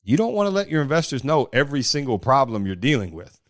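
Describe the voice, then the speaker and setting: A man with a big, deep voice, talking bluntly about running a startup in a casual podcast conversation. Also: sing-song, fast talker, no echo, clean studio sound. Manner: blunt and forceful, with mild swearing. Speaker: startup founder.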